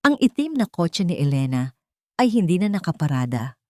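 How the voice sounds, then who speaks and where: A woman's voice: lowish voice, very animated, moderate pace, a little mellow, no room sound, clean studio sound. A woman with a Filipino accent reading a scripted dramatic story as an audiobook narrator.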